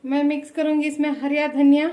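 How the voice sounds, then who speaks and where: Chatty high-voiced woman cooking at home. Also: little pitch movement, fast, warm tone. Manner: chatty, repeats "look" and blessings often. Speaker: cooking channel host. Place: home kitchen, indoors.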